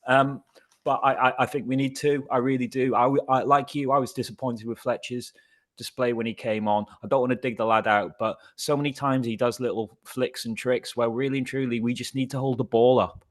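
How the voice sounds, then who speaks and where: A man's voice: medium pitch, flat delivery, a little fast. A man on a football podcast panel, giving earnest, playful opinions with stammering restarts. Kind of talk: casual podcast chat.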